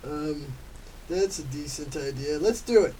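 A male performer voicing a polite, grumbling sloth, reading a little haltingly and mock-formally in an amateur radio comedy. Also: fairly high pitch, mellow, lightly acted. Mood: matter-of-fact. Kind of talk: amateur old-time radio comedy drama. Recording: distant mic with faint background noise.

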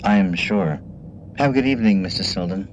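A lowish, distant-sounding male voice over faint noise, an actor as an institutional attendant in a radio drama. He is formal and coolly polite, with dry condescension. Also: a little fast, dull audio, lightly acted. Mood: smug, polite. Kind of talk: radio play dialogue.

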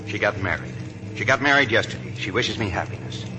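An actor playing a bitter, stubborn young Army officer who has been jilted, in 1940s radio drama style. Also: male voice, on the low side, fairly quick, music in the background, lightly acted. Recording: noisy 1940s radio, engine hum behind.

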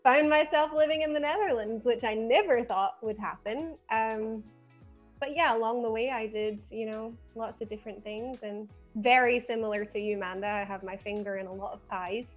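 A young adult woman from Scotland with a softened Glaswegian accent, chatting earnestly as a podcast guest. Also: fairly high pitch, muffled recording, soft background music. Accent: softened Glaswegian Scottish. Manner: chatty and earnest, quick laughs. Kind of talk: casual chat.

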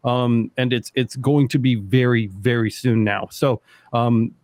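A man in his thirties hosting a casual livestream, talking in a rambling, relaxed way full of fillers. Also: medium pitch, measured pace, dry recording, clean studio sound. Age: 30s. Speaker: livestream host.

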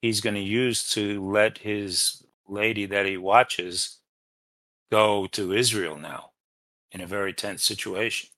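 A man co-hosting a casual book discussion, speaking in a halting, questioning way and often repeating himself. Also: fairly low pitch, fairly even intonation, bright, forceful tone, no room sound. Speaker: podcast co-host.

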